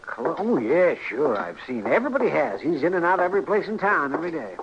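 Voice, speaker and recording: An actor playing a folksy, wry older American town doctor who rambles amiably in an old-time radio western. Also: male voice, fairly high pitch, sing-song, fast talker. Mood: curious. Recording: faintly noisy, dull old radio recording.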